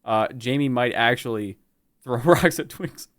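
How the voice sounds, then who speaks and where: A man with a medium-pitched voice hosts a stream. He talks casually, in a joking, bantering style. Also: unhurried. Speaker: streamer and co-host.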